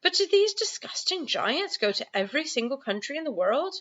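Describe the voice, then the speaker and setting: A woman's voice: high-pitched, very animated, a little fast, a bit bright, dull audio, voice pushed up. A woman voicing a small, inquisitive and indignant young girl in a storybook, outspoken and wide-eyed. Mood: horrified.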